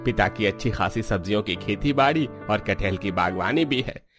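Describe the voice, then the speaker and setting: A man's voice: lowish voice, moderate pace, a bit bright, natural voice. A man voicing a persuasive matchmaking priest in a cartoon. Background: music.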